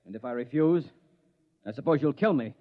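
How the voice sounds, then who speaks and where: A man's voice: medium pitch, lively intonation, brisk, lightly acted. A man playing a trapped, defiant ordinary man in a 1950s radio drama, urgent delivery, old dull recording.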